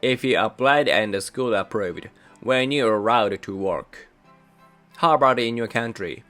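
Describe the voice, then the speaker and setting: A young man from Japan, a native Japanese speaker with a Japanese accent, hosting his channel in measured, careful scripted narration. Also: mid-range voice. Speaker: channel host. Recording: soft music behind voice.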